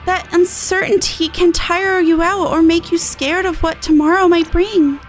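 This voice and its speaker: A woman plays a young female inventor from a video game, gentle yet resolute. The voice sits high and speaks with earnest, thoughtful sincerity over prominent music. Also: steady tone, a little slow. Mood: earnest, hopeful.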